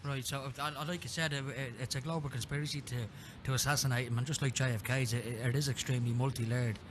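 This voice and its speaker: Brisk, quick-talking male radio host. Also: medium pitch, steady tone, noisy background. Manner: brisk, with fillers and restarts. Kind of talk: radio interview.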